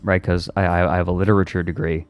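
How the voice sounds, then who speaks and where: A man's voice: deep voice, flat delivery, fast talker, clear, resonant tone, no room sound. A young man chatting casually on a stream, often stammering and repeating his words. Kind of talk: casual chat.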